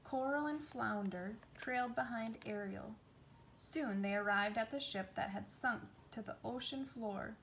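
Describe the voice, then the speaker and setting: A woman's voice: fairly high pitch, a little slow, fairly bright tone, poor audio. A woman reading a children's storybook aloud as a storyteller. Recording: noticeable background noise.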